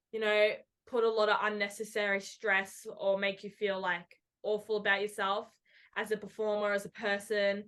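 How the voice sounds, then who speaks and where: A woman's voice: mid-range voice, bright, forceful tone. A young woman co-hosting a podcast, chatting eagerly and rambling with many fillers over a video call. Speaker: podcast co-host and actor. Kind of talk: casual chat.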